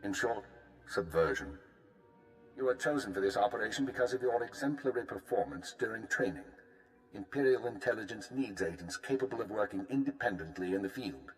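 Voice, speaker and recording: An actor playing a male intelligence handler briefing a field agent in a crisp, authoritative way. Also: lowish voice, animated, fairly quick, soft background music, natural voice, low-quality recording. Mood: commanding. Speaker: spymaster giving orders. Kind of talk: video game voice acting.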